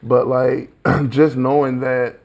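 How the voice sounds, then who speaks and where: Southern American man with a creaky voice. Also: medium pitch, little pitch movement, takes it slowly, a little mellow. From small town in Alabama, USA. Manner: informal, slangy, frequent "like" and "you know". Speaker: guest, recording engineer and mixer. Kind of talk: casual interview chat.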